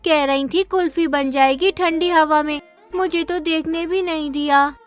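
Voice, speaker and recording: A wide-eyed little village girl in a cartoon storybook, played by a man. Her voice is very high and bright, full of eager, curious, pleading questions. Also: steady tone, a little slow, faint music behind.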